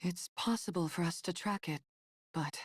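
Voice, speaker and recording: Low, stern, level-headed android soldier. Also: female voice, breathy edge, dry recording, clean studio sound. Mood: firm, reluctant. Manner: measured, firm, grave. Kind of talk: dramatic anime game dub acting.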